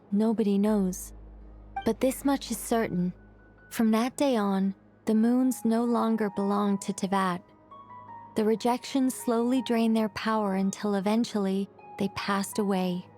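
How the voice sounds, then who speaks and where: A woman voicing a composed, wise character in a video game, recounting lore in a calm, measured way. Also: mid-range voice, soft background music, natural voice. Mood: solemn. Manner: calm, measured, solemn storytelling. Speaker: story character explaining lore.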